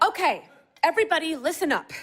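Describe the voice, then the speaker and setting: An actress playing a firm, moralizing young wife in an audio drama, earnest and controlling, often curt. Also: female voice, extremely high pitch, very animated, fast, a bit bright, a little hiss or hum, full character voice. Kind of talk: audio drama acting, naturalistic dialogue.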